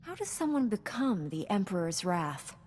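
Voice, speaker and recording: An actress playing a young Jedi knight in a game, speaking in an earnest, composed and formal way. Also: female voice, medium pitch, sing-song, moderate pace, a little mellow, breathy edge. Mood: resolute. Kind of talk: dramatic game cutscene acting. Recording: long reverberant hall.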